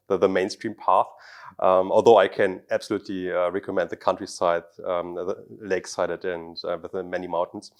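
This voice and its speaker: A man in his thirties from Germany answers interview questions to camera as a startup founder, feeling his way through sentences with repeated words. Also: low-pitched. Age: 30s. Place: office meeting room indoors. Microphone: lapel mic.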